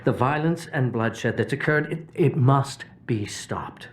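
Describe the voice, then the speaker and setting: An actor playing a middle-aged political advocate in a video game, persuasive and lofty, with measured oratorical phrasing. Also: male voice, medium pitch, a little hiss or hum, close to an everyday voice. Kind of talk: video game cutscene acting.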